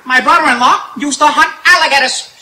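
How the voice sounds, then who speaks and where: A high, piercing, childish comic sidekick acted by a man in an old radio comedy act. He exclaims loudly and cracks wise, echoing on an outdoor stage with some noise. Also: fairly quick, full character voice. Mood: cocky, joking. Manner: loud, exclaiming, punchline delivery. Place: outdoor night stage in woods, far camera.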